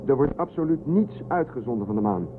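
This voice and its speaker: A man's voice: mid-range voice, animated, fairly quick, lightly acted. A man playing a matter-of-fact, insistent starship radio officer in a science fiction radio drama, speaking bluntly. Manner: blunt, factual. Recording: thin phone-like, noisy sound.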